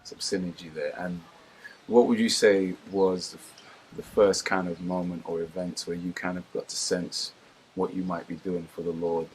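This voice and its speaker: A man hosting a church interview, speaking haltingly with frequent ums as he welcomes and thanks his guest. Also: sits low, level tone, a little hiss or hum, rough recording. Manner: halting, many ums, warm and appreciative. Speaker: pastor and interviewer.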